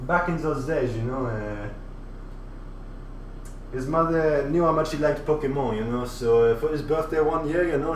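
A man's voice: mid-range voice, slight background noise, natural voice. A man streaming live from a kitchen, putting on a vulgar, boastful French chef character with a put-on French accent, rambling and repeating "you know". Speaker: livestreamer. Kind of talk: casual livestream chat in character. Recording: roomy, distant mic, faint ambience.

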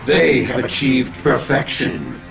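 An actor playing a cold, menacing male robot overlord who speaks slowly and with contempt, in a game cutscene. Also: mid-range voice, big pitch swings, vocal fry, exaggerated voice. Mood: contemptuous. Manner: slow, contemptuous, mocking. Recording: game cutscene audio, roomy, loud music.

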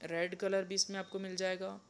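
A young man showing sarees in his shop, walking through each item with a steady, repetitive sales pitch while he films on a handheld phone. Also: normal speed, gravelly, clean tone. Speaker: saree seller. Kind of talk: product showcase sales pitch.

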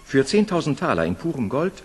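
A man's voice: medium pitch, very animated, fairly quick, breathy edge. An actor playing a confident, courteous wandering huntsman in a children's fairy-tale audio play. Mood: courteous, self-assured. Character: confident, courteous huntsman piper offering his services. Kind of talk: old children's radio play acting.

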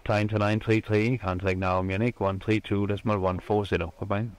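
A man's voice: lowish voice, a little fast. A man working as an air traffic controller, giving clipped, procedural radio instructions to pilots. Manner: flat, procedural.

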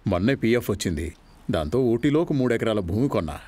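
An actor playing a principled middle-aged uncle in a film, calmly giving advice. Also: male voice, fairly low pitch, a little fast. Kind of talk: film drama dialogue.

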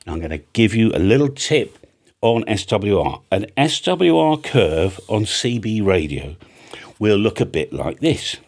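A man's voice: fairly low pitch, very animated, unhurried, a little mellow. An older man with a British accent giving a casual, off-the-cuff tutorial, chatty and blunt, often thinking aloud. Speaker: radio hobby video presenter. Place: home music studio room with drum kit. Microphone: large studio microphone on an arm, close by.

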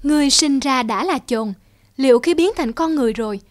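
A woman's voice: high-pitched, a little fast, no echo, studio quality, natural voice. A woman voicing a stately love goddess in a cartoon fairy tale, formal and admonishing. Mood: stern warning. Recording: music behind the voice.

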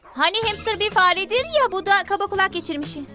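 A woman voicing a lively, wisecracking teenage girl in a cartoon. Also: very high voice, fast talker. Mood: playful. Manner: wisecracking, exclamatory. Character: teasing, lively, quick-witted teenage girl. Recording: music behind the voice.

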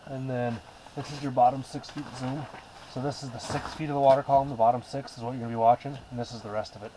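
A man's voice: mid-range voice, little pitch movement, quick pace. A man guiding a friend through ice fishing, casually explaining the fish finder and chatting inside an ice shelter. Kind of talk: casual chat while fishing. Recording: loud background noise in an ice shelter.

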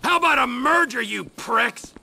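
An actor playing an unhinged, vengeful middle-aged male criminal in a video game, taunting and shouting with a North American accent. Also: extremely high pitch, animated, normal speed, gravelly, full character voice. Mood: mocking. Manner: taunting, shouted, volatile delivery. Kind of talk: video game character acting. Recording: game audio under loud gunfire noise. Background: shootout and action noise.

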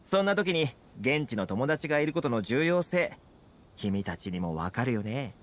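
A man voicing an easygoing, confident young fixer in a Japanese video game, talking smoothly and persuasively. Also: mid-range voice, very animated, moderate pace, slight background noise, close to an everyday voice. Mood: easygoing, friendly. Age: young adult.

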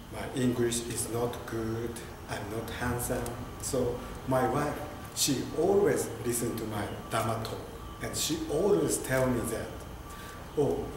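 Slow-speaking Japanese priest in an echoey hall. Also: male voice, mid-range voice. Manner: simple, careful English with small grammar slips. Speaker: Buddhist priest. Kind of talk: informal video talk to camera. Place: temple hall indoors, standing before an altar. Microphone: camera a few steps away. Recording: very echoey room with clear background noise.

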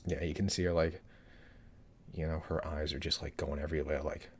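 A man's voice: rumbling low, little pitch movement, fairly quick, a little hiss or hum. A man in his thirties giving casual, rambling commentary on a trailer from a small room, with lots of fillers and restarts. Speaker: YouTube trailer reviewer.

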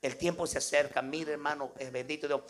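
A man's voice: a little high, fairly even intonation, a little fast. A man with a Guatemalan accent preaching an impromptu, exhortative sermon to a congregation, peppered with devotional interjections and tag questions. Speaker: evangelical preacher.

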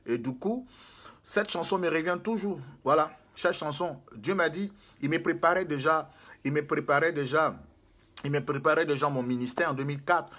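A man's voice: a little high, moderate pace, a bit bright. A man, preaching to a camera in a small room, emphatic and repetitive, gesturing as he speaks. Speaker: prophet and spiritual guide.

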